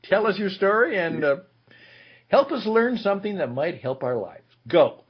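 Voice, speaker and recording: A male podcast host with a husky voice that swings high and low as he talks. He chats easily and pokes fun at himself. The sound is muffled and a little lo-fi. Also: normal speed. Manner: chatty, self-deprecating, animated. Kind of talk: conversational interview hosting.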